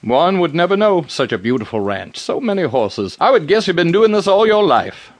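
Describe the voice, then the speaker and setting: A frontier preacher in a western radio drama. His speech is formal and courteous, swinging widely in pitch as he gushes politely and pries with great curiosity. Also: big pitch swings, moderate pace. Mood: genial, gushing. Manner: courteous, gushing, formal, inquisitive. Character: genial, nosy, courteous frontier preacher, a newcomer.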